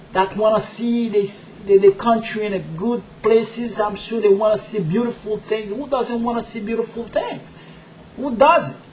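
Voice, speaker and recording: A man from Haiti with a Haitian accent, telling his faith story to his viewers in a rambling way with many fillers, moving from soft and halting to loud and forceful. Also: high-pitched, a little hiss or hum, poor audio. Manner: rambling, many "you know" fillers, quiet at first and growing loud and emphatic. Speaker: video blogger. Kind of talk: personal testimony to camera.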